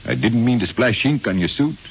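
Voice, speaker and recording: A man's voice: mid-range voice, very animated, brisk, natural voice. An earnest, fussy professor acted by a man in a vintage radio drama, troubled and idealistic. His intonation swings widely, and his formal phrases come through a muffled, noisy old recording. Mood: apologetic, troubled. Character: anxious, idealistic professor with frightening psychic powers. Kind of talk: 1950s radio drama acting.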